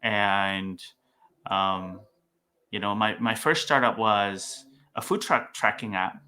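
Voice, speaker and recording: Young man from vietnam, startup founder guest, bright voice, slightly low pitch, rambling with fillers. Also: takes it slowly. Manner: rambling, many fillers and restarts. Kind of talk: casual interview talk.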